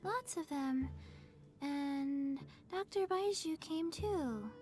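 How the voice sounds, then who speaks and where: A woman voicing a small, earnest undead girl in a video game, with a childlike voice and halting, deadpan delivery. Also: high voice, faint music behind. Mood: earnest, blank. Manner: deadpan, halting, simple short phrases. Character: small undead herb-picking girl, flat, earnest, slow-witted.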